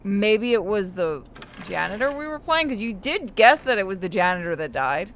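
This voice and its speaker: Bright, forceful woman playing a game. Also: mid-range voice, big pitch swings, moderate pace, slight background noise. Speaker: gaming commentator and player. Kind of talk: casual let's-play commentary.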